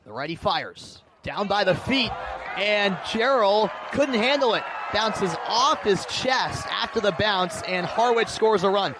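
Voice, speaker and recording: A man doing live baseball play-by-play commentary, narrating pitch by pitch in short, clipped phrases. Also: lively intonation, unhurried, a crowd in the background. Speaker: play-by-play announcer. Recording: clear outdoor ballpark background noise. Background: outdoor ambient noise.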